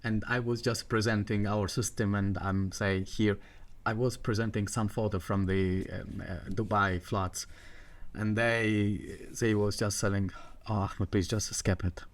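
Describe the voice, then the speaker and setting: A man's voice: fairly low pitch, steady tone. A middle-aged man from Egypt, a guest on a podcast, speaking with many fillers and restarts. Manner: halting, many fillers and restarts, non-native grammar. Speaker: water management guest. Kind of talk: podcast interview.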